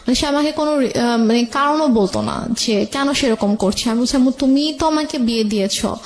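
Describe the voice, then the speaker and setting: A woman's voice: on the high side, fairly quick, darkish tone. A woman with a Bangladeshi accent telling her personal story as a radio interview guest, hesitantly, repeating phrases and dropping in English words. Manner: mixes English words into speech.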